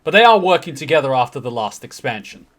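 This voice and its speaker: A man's voice: medium pitch, sing-song, quick pace, a bit bright. A man hosting a game lore video, explaining things in a casual, conversational way. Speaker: host, game lore commentator.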